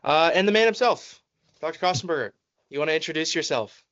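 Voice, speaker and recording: A man's voice: a little high, lively intonation, fast talker, a bit bright. A man hosting a podcast, affirming his guests and passing the turn to each of them. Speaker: podcast host. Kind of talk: interview hosting. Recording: muffled lo-fi podcast audio.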